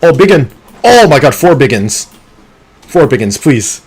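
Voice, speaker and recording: A man streaming a game live, talking through it in an excitable, competitive way, with sudden gasps and pleading. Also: medium pitch, unhurried, slightly rough. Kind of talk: live gameplay commentary.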